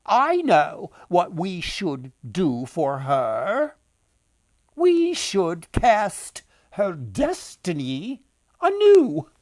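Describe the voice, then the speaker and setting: A man voicing weary, gracious village women who cast destinies in a folktale, with drawn-out, emphatic delivery. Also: high-pitched, wildly swooping pitch, darkish tone, no room sound, heavily acted. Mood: grateful, solemn.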